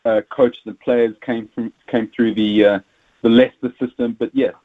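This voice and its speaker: A man's voice: mid-range voice, steady tone, moderate pace. A man with a South African accent, a rugby pundit talking casually in an interview, stumbling over repeated words and fillers. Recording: tinny phone line.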